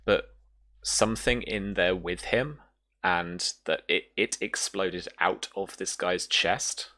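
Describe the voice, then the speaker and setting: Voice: low voice, slow, sharp tone. A game master narrating a tabletop roleplaying game in a casual way, often thinking aloud. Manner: casual, thinks aloud while looking up rules.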